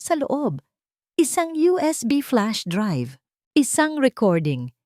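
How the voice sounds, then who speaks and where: Woman as unshakeable accusing heroine, Filipino accent, steady delivery, dark warm timbre, audiobook drama. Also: sits high, slow pace, no room sound, clean studio sound, pitched above the performer's own voice, full character voice. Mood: revealing. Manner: firm, steady. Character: steady, sharp-minded woman investigator, firmly accusing.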